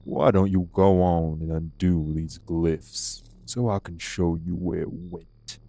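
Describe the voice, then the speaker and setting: Man playing a low, growly vampire. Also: steady tone, slow, vocal fry, mellow, soft background music, exaggerated voice. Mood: taunting. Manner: blunt, drawling, taunting, colloquial. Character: rough, defiant old vampire, devil of New Orleans. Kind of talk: dramatic audio roleplay acting.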